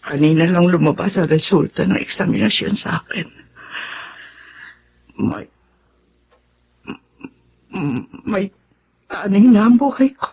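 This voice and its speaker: An actress playing an ailing, grief-stricken mother in a radio drama, speaking haltingly and emotionally. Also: lowish voice, expressive, creaky, darkish tone, slight background noise, exaggerated voice. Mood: grave, halting. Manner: halting, emotional, stammering delivery. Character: ailing, grief-stricken mother breaking hard news to her child. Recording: dull lo-fi radio drama with background music.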